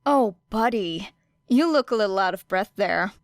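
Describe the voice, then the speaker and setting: A woman's voice: mid-range voice, lively intonation, moderate pace, no echo, clean studio sound, lightly acted. A woman voicing a guarded teenage girl who trades sarcastic jabs. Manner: sarcastic, stiff. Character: guarded snarky teenage girl hero student. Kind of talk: audiobook character reading.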